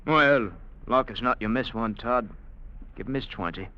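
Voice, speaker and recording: An actor playing a mocking, jeering sailor, on an old radio recording. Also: male voice, mid-range voice, moderate pace, dull audio, lightly acted. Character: sneering Cockney sailor man, mocking.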